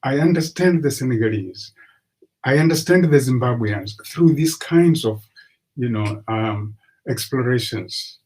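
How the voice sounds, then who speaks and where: An older man from Tanzania, a professor guest on a video call, speaking in a measured, agreeable way. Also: medium pitch, expressive, darkish tone, slightly rough. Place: study with bookshelves.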